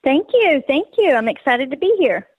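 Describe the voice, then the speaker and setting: A woman's voice: high voice, moderate pace, dry recording. A woman with a Texas accent, a guest author telling stories earnestly on a radio interview. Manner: earnest, excitable storytelling.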